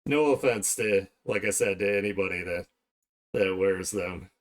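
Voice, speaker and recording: A man with a fairly low voice hosts a casual art stream. He rambles conversationally to his viewers, talking as he works. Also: normal speed. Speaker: art streamer hosting a livestream. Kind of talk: casual livestream chat while drawing.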